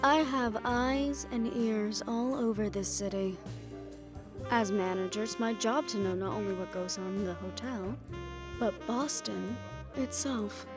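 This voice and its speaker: A woman's voice: medium pitch, unhurried, music in the background, natural voice. A woman playing a poised, worldly hotel manager in an assassin underworld audio roleplay, speaking in a composed, gracious and knowing manner. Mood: businesslike, knowing. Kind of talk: roleplay audio drama acting.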